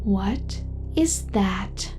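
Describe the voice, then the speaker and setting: A woman's voice: medium pitch, expressive, very slow, dark tone, faint music behind. A woman voicing a hostile female gang leader in an audio drama, accusing and imperious. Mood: suspicious.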